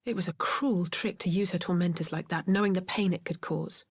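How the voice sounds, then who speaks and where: An actress playing a forthright, sharp-minded young woman in a dramatic game scene, speaking directly and challengingly. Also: lowish voice, fairly even intonation, brisk, husky, no room sound, clean studio sound. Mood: indignant.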